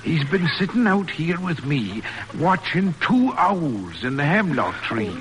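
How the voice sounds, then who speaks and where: An actor playing a good-natured farmhand in a rustic, colloquial 1940s radio drama style. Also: male voice, a little high, sing-song, a little slow. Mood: earnest admiration. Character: good-natured folksy male farmhand, loyal and plainspoken. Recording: noisy old radio broadcast recording.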